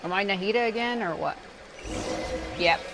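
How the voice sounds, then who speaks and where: A woman's voice: medium pitch, normal speed, background noise. A woman livestreaming a game, giving casual, rambling, offhand commentary with some swearing. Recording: game music playing behind the voice. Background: game music.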